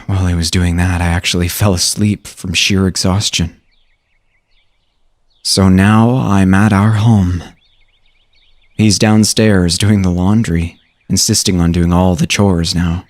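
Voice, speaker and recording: A man's voice: low voice, steady tone, a little slow, no room sound, clean studio sound. A man reading a first-person horror story with an emotional, dramatic delivery. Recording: music behind the voice. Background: music.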